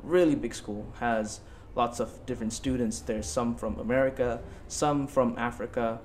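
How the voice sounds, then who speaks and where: A man's voice: medium pitch, steady tone, normal speed, a little mellow, a little hiss or hum. A young man in his late teens from Bali giving casual interview answers as a student guest, hesitant and repeating himself. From Bali, Indonesia.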